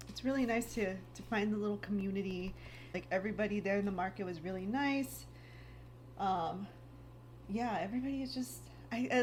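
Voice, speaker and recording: A woman in her thirties chatting casually at home in a rambling vlog, full of "like" fillers, her throat a little raspy. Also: mid-range voice, a little hiss or hum, low-quality recording. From United States. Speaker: lifestyle vlogger. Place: home, indoors.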